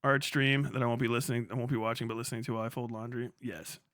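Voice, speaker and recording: A young man talks loosely through a long livestream, reading chat, cursing and chuckling between thoughts. His voice sits at an ordinary middle pitch. The sound is close and dry, with no room around it. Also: flat delivery, racing, a bit bright, no room sound. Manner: rambling, profane, laughs often.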